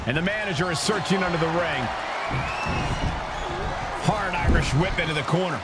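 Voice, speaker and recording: A man voicing an excitable wrestling play-by-play announcer in a video game. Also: a little high, normal speed, over loud music, over a noisy crowd, natural voice. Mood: excited. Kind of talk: excited sports commentary. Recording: loud arena noise behind.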